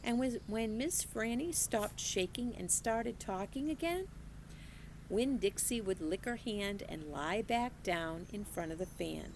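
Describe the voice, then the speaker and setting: A woman teacher reading a children's novel aloud in an expressive, unhurried storytelling manner. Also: medium pitch, vocal fry, a little hiss or hum. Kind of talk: children's book read-aloud.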